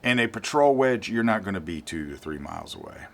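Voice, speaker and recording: Older man, low voice, channel host, informal product review, deliberate and emphatic delivery. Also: wildly swooping pitch, gravelly. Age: 50s or older. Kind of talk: informal gear review to camera.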